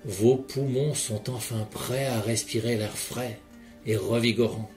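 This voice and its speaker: A man leading a guided relaxation session in a calm, measured voice, speaking directly to the listener. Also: medium pitch. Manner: calm, measured second-person guiding. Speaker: hypnotherapist guide. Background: soft background music.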